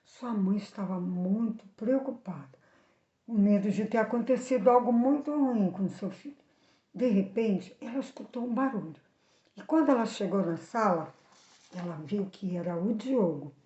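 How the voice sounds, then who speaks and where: A woman with a Brazilian accent telling a moral story for children in expressive narration. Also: mid-range voice, moderate pace. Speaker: children's storyteller and channel host. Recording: echoey room, slightly dull sound.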